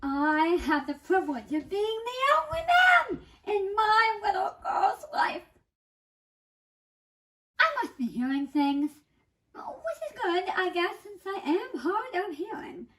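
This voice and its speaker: A woman performing a theatrical comic monologue in the first person, dramatic and deliberate, with emphatic pauses. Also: very high voice, big pitch swings, fairly bright tone.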